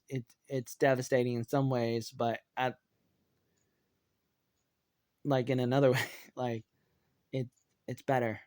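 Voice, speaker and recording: A man in his thirties with an American accent, giving a rambling personal update in a hesitant, halting way and often repeating himself. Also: medium pitch, a little mellow, smooth, no room sound, studio quality. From Oregon, United States. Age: 30s. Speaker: online video creator.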